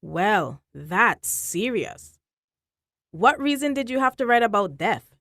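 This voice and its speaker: A woman playing a patient, reassuring child therapist in a staged drama, measured and gently probing. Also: mid-range voice, very animated, dry recording, studio quality, full character voice. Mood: concerned, probing.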